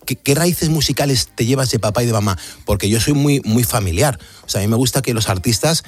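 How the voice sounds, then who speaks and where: A man's voice: medium pitch, brisk. A man hosting a casual interview, stammering and repeating words as he asks long questions. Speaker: interview host.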